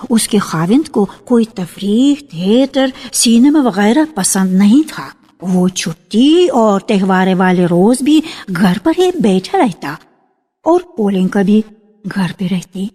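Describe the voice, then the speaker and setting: A woman reading a literary story for radio in a measured, expressive narrating style, on an archival recording. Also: medium pitch, faint music behind. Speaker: narrator of a dramatized short story.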